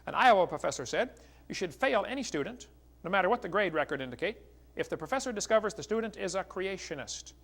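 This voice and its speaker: Casting: American male evangelist lecturer, middle-aged, high voice with a heavy creak, folksy and combative delivery. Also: animated, fast, a bit bright. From United States. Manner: folksy, polemical, addresses listeners as "folks". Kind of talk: religious lecture with read-out quotations.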